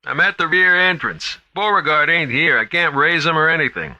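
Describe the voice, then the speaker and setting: A man's voice: fairly high pitch, animated, normal speed, bright, forceful tone, dull audio, voice pushed up. A man voicing a nervous, lost young male guard, talking in a strained, raised voice. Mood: anxious.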